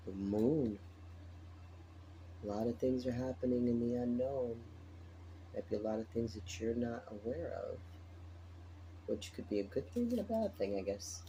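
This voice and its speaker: Tired raspy-voiced man reading tarot. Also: medium pitch, noisy background. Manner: chatty, repeats phrases, laughs often. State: tired, morning voice. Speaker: tarot reader. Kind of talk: casual spoken tarot reading.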